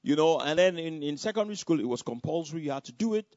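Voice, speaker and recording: A Nigerian man preaches a testimony, his voice sitting a bit high and sounding dry and close. He tells the story in an animated way, repeating words and phrases as he goes. The sound is dull and lo-fi. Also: quick pace, no room sound. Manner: animated storytelling with repetitions and laughter. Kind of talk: sermon testimony.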